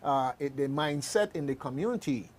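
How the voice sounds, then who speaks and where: A man's voice: mid-range voice, lively intonation, moderate pace, slight background noise. A man talking casually in a panel discussion, emphatic, repeating himself and checking that he is understood.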